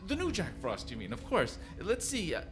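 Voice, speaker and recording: A brusque, self-important floorwalker for a radio play. His voice is low and animated, with curt, impatient phrasing and music underneath. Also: normal speed. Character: brusque, haughty, busy male department store floorwalker. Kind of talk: old-time radio drama acting.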